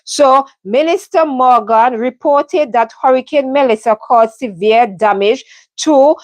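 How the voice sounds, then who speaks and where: A woman from the Caribbean speaks earnestly on her live stream, pausing often with ums and starting sentences over. Her voice sits a little high. The sound is dry and close, with no room echo. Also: darkish tone. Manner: earnest, heartfelt, many ums and restarts. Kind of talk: casual live stream update. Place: home in London.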